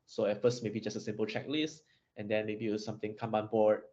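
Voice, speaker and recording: Fast-talking male host on a dull line. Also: on the low side, little pitch movement, a little mellow. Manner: brisk, frequent affirming backchannels. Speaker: podcast host. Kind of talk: interview.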